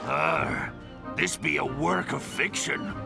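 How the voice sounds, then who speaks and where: An actor playing a grumpy severed robot pirate head in a video game, with a gravelly, growling pirate drawl. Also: male voice, fairly high pitch, takes it slowly, heavily acted. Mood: grumbling. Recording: echoing, distant, music behind.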